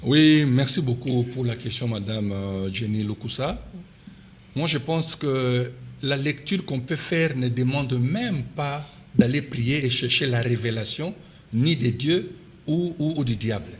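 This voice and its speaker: A man's voice: mid-range voice, measured pace, a little hiss or hum. A man with a Congolese accent speaking as a political commentator in an interview, insistent and repeating his key points for force. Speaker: political commentator and party official, interview guest.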